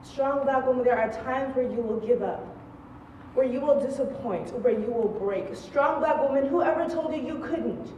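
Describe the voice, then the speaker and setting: A woman's voice: sits high, moderate pace, dark tone. A young woman performing spoken-word poetry on stage with emphatic, rhythmic repetition. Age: young adult. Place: stage in a large room. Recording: echoey, distant, slightly dull noisy stage recording.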